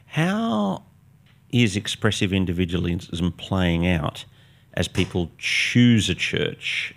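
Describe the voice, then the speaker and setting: A man's voice: low-pitched, sing-song, slow pace, vocal fry, fairly bright tone. An older man hosting a studio interview, asking probing, conversational questions and murmuring agreement. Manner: probing, conversational, frequent "mm-hmm" backchannels. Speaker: host. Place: studio set with armchairs. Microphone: boom-mounted podcast mic.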